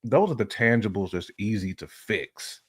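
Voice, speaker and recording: A man's voice: lowish voice, lively intonation, normal speed, husky. An American man chatting casually on a relationship podcast, using slang and repeating phrases for emphasis.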